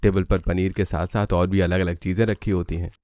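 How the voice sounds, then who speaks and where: A man's voice: deep voice, level tone, fairly quick, darkish tone. A man narrating a scripted cartoon family story as the storyteller. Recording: dull lo-fi narration, faint noise.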